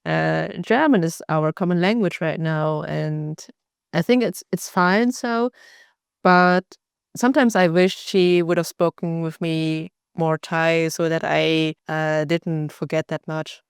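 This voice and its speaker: A woman hosting a podcast interview, agreeable and relaxed, giving short replies and chatting about growing up between cultures. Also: low-pitched, slow pace, vocal fry, clean tone, no echo, studio quality. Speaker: podcast host.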